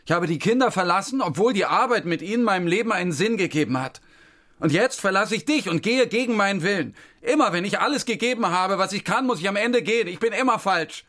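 A man's voice: high voice, expressive, fast talker, fairly bright tone. An actor playing a weary, self-doubting Syrian man who tells his story in a brooding way in a radio drama. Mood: hurt, resigned. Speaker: actor and narrator. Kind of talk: radio drama narration and dialogue.

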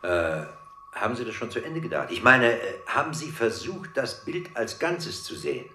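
A man's voice: mid-range voice, very animated, normal speed, pushed and bright, echoey room, slight background noise. An actor in a German radio drama playing a grandiose, self-important male boss who talks in pompous, emphatic exclamations. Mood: impatient.

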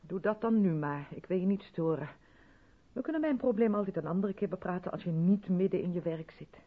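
Radio drama, female role: strict, insistent rehearsal leader, persuasive and pointed, very expressive intonation, muffled vintage sound. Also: on the low side, fairly quick, husky. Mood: cool, businesslike. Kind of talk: 1970s Dutch radio drama acting.

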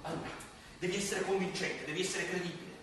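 Bossy comic ghost, excitable, colloquial, very high pitch, distant, strong room echo. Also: male voice, fast talker. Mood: bossy, impatient. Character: bossy, exasperated dead man coaching other ghosts to terrorize. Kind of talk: comic radio stage play acting. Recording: echoey noisy hall, far from mic.

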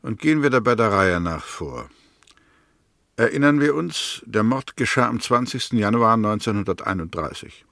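A prosecutor in a vintage German radio play. His low voice has a gravelly creak and is recorded dry. He lays out his case formally, precisely and with firm conviction. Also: lively intonation, normal speed, fairly bright tone, dry recording. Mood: methodical. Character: male prosecutor, methodical, firm, convinced of guilt. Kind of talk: 1960s German radio drama acting, courtroom-style argument.

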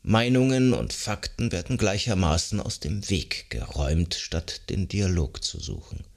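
A man reads scripted nonfiction as an audiobook narrator, in an articulate, measured, explanatory way. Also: sits low, animated, gravelly. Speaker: audiobook narrator of a psychology self-help book.

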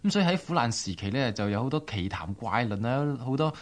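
A man's voice: medium pitch, expressive, quick pace. A man with a Hong Kong Cantonese accent hosting a radio programme in a conversational presenting style. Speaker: radio host.

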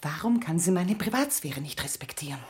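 A woman's voice: fairly low pitch, expressive, brisk, darkish tone, close to an everyday voice. An actress playing a middle-aged mother who is evasive, curt and dismissive in a tense family drama. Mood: guarded. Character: Evelyn, guarded, evasive middle-aged mother, stern and brittle. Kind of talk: stage-style drama acting.

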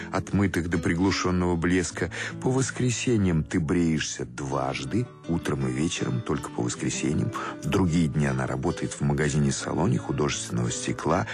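A man with a low voice narrates literary prose for a radio play. His delivery is measured and scripted, and soft music plays faintly behind him.

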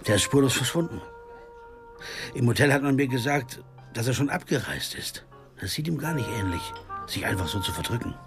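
A man's voice: medium pitch, animated, brisk, over music, lightly acted. An actor playing a male jazz pianist who narrates his own detective story in a radio drama, in a laconic, observant way. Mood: probing. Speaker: narrator and lead character. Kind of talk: first-person crime radio drama narration and dialogue.

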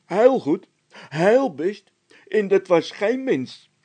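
A man voicing a stubborn, frightened workman who insists and complains loudly in a storybook tale. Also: high voice, big pitch swings, takes it slowly, pitched above the performer's own voice, exaggerated voice. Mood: jittery, vindicated.

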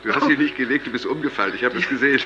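An actor playing an eager, insistent young man in a radio drama, speaking briskly on a lo-fi recording. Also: medium pitch, bright, forceful tone, a little hiss or hum, lightly acted. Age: young adult. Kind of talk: naturalistic radio drama dialogue. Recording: dull lo-fi tape, music underneath.

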